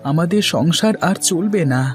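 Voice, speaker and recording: A man's voice: sits high, animated, normal speed. A man voicing a caring, poor village husband with an earnest, theatrical delivery in a Bengali fairy-tale cartoon. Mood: earnest, worried. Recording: music under the voice.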